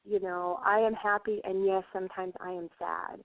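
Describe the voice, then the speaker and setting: A woman's voice: medium pitch, fairly even intonation, moderate pace, gravelly, no room sound. A woman from Puerto Rico, a guest healer on a radio interview, talking in an earnest, reflective way with many fillers. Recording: tinny phone line.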